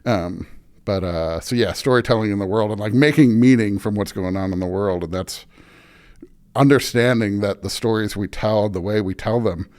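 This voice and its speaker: A man's voice: on the low side, normal speed, darkish tone, smooth. A middle-aged American man chatting casually and telling anecdotes as an interview guest on a podcast.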